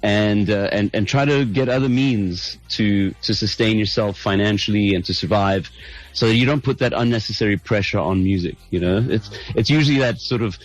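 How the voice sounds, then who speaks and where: A man's voice: lowish voice, normal speed, low-quality recording. A middle-aged South African man, a band's lead singer, chatting in an interview, thoughtful and hesitant with many restarts. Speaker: band lead singer, guest. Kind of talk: casual interview chat.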